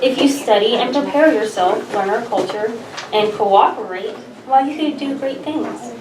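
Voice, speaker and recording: A woman's voice: a little high, moderate pace, noisy background, lightly acted. A woman playing an imperious, menacing alien ruler at a sci-fi table read. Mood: coolly commanding. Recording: echoey room, distant mic, background voices.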